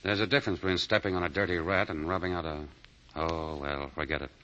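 An actor playing a cool, wry convict with a laconic manner in 1940s radio style. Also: male voice, sits low, steady tone, fast, sharp tone. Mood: wry, resigned. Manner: laconic, understated. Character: cool, wry, smooth-talking condemned convict. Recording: dull 1940 radio broadcast recording.

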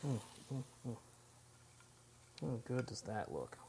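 A man's voice: mid-range voice, big pitch swings, slow pace, gravelly, background noise. A man in his forties hosting a home cooking show, talking casually and enthusiastically while he works in a large kitchen. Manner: chatty, enthusiastic, talks while working.

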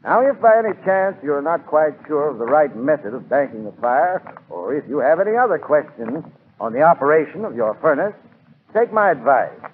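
A man's voice: on the high side, sing-song, a little fast. A man giving instructive advice in a scripted 1940s radio commercial. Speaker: advertising spokesman. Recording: tinny, hissy 1940s radio recording.

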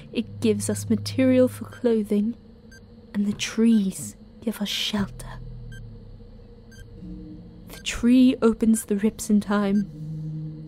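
A woman playing a menacing, ancient and bitter Mother Superior in an audio drama, with deliberate delivery that often sinks toward a whisper. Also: medium pitch, dark tone, a little hiss or hum, natural voice. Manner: slow, deliberate, breathy near-whispers. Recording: music under the voice.

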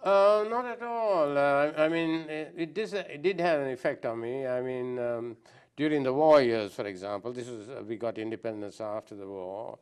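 An older man from Sri Lanka, a guest answering interview questions thoughtfully, with many pauses and restarts. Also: mid-range voice, smooth. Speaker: guest anthropologist.

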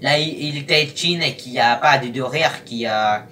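A young man giving casual reaction commentary in a halting, rambling, disjointed way. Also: medium pitch, pushed and bright. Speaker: YouTube host. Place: small room at home, indoors. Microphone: webcam at arm's length or more. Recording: noisy webcam, distant from the mic.

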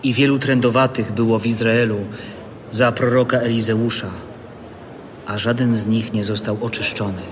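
Actor as grave Jesus in long reverb. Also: male voice, mid-range voice, level tone, moderate pace, a little hiss or hum, natural voice. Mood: grave, admonishing. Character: Jesus, grave, calmly authoritative male preacher. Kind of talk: biblical radio drama acting. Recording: long reverb, audible background ambience.

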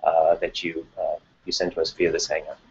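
A man's voice: very deep voice, level tone, brisk, lo-fi sound. A man hosting a meeting, introducing the guest in a loose way, full of uh and um. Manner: loose, filler-heavy, many uh and um. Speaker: host introducing a speaker.

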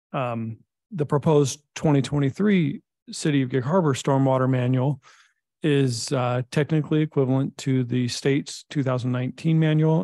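A middle-aged man, a public works director, presenting a technical report at a council meeting in a measured way with frequent filler pauses. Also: medium pitch, gravelly, darkish tone, no room sound, clean studio sound. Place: city council chamber.

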